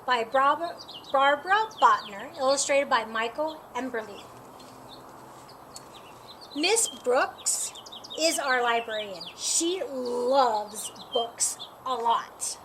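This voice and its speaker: A woman reads a picture book aloud for children in a high voice, taking her time over each phrase. Her delivery is expressive and dramatic. The recording is clearly noisy, with birds chirping behind her. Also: slow pace. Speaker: storytime reader.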